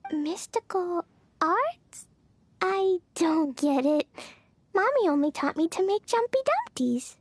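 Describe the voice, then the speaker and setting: A cheerful, impulsive little girl from an anime game, extremely high and animated. She speaks slowly with wide-eyed naivety, in a dry studio sound over faint music. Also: no room sound, heavily acted. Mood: puzzled.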